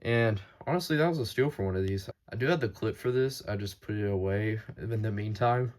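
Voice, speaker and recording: A man's voice: on the low side, brisk, a little mellow. A man giving a casual, off-the-cuff show-and-tell narration as the host of a collection video. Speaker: host showing a weather radio collection.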